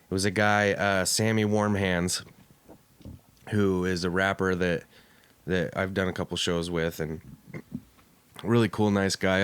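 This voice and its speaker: A man with a fairly low voice hosts a loose, casual podcast. He jokes and swears freely as he talks. The sound is dry, with very little room on it. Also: smooth, no room sound. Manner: crude, profane, joking. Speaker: podcast host.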